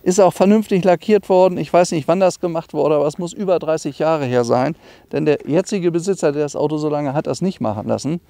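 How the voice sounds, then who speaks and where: Middle-aged man, high-pitched creaky voice. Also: a little fast, mellow, no room sound. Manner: enthusiastic, conversational, explanatory. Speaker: classic car dealer presenting a car. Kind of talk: informal car walkaround presentation.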